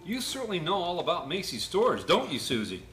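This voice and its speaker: A woman with a mild, hesitant manner playing a polite, apologetic gentleman in a radio play. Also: fairly low pitch, very animated, a little fast, faint music behind. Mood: friendly. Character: polite, apologetic, well-meaning gentleman neighbour. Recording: roomy, echoing sound.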